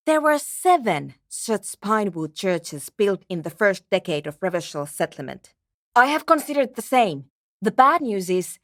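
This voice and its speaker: A woman voice actor playing a driven, intellectual woman programmer in a video game, with a brisk, matter-of-fact, technical way of talking. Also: mid-range voice, dry recording, studio quality. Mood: intrigued, urgent. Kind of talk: scripted game character dialogue.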